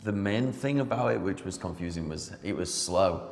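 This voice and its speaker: A man's voice: low voice, expressive, normal speed. A man hosting a casual chat while cutting hair in his barber shop, asking long rambling questions. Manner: chatty, asks long questions. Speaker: barber and podcast host. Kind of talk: casual conversation. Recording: reverberant room with faint music.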